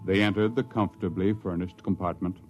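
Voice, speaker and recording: Low-pitched 1950s radio-drama narrator, steady and measured, soft music. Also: male voice, dull audio. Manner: measured storytelling.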